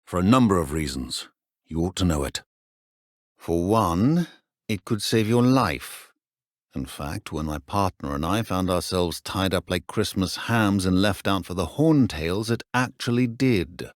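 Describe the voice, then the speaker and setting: An actor playing a stern middle-aged British wizard professor in a video game, speaking in a measured, dry, formal way. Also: male voice, sits low, dry recording.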